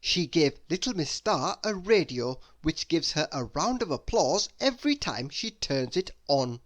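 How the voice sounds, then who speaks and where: A storybook reader narrating a children's tale aloud with expressive emphasis. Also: medium pitch, a little slow.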